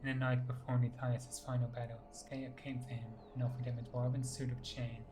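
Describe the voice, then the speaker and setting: A man's voice: mid-range voice, little pitch movement, a little fast, music in the background. A man reading fantasy game lore and dialogue aloud as scripted narration. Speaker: game player narrating codex entries and dialogue choices.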